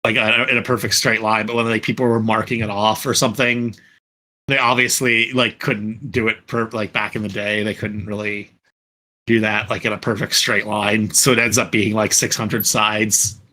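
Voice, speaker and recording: A man with a fairly low voice and a bright, forward edge talks casually among fellow podcast panelists. He rambles, backs up to correct himself and peppers his sentences with "like". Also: little pitch movement, normal speed. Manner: rambling, self-correcting, frequent "like". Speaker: podcast panelist and fan dub voice actor. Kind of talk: casual group chat.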